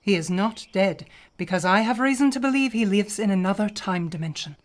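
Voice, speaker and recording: An earnest, stubborn older woman scholar of the occult played by an actress in a radio play. She is firm and pointed, articulate, with a mid-pitched voice. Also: lively intonation, a little fast, lightly acted. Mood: convinced.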